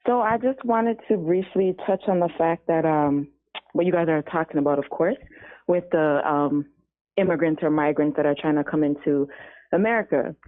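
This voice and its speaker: A woman calling in to a radio show with a question, speaking in a measured, conversational way. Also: low voice. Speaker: caller. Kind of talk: phoned-in question. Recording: dull lo-fi phone line.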